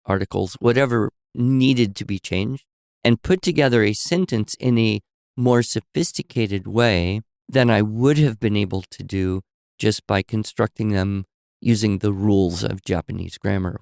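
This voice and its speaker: A man co-hosting a podcast, telling stories in a relaxed conversational way and explaining with examples and asides. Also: on the low side, vocal fry, no room sound, studio quality. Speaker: podcast co-host. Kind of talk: conversational storytelling.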